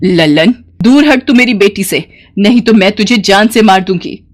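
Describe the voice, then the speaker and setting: A woman's voice: medium pitch, normal speed, slight background noise, natural voice. A woman voicing a fierce, protective middle-aged village mother in a storybook tale, scolding and threatening. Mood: furious scolding.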